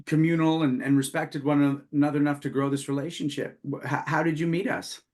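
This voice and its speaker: A man's voice: fairly high pitch, little pitch movement, brisk, a little mellow. A man hosting a podcast, asking his guests questions in casual conversation. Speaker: podcast host. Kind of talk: casual interviewing.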